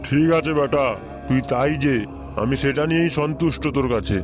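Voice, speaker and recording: A man's voice: medium pitch, a little fast, close to an everyday voice. A man playing a wily, greedy holy-man guru in a comic cartoon, coaxing and repeating himself. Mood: wheedling. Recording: music under the voice.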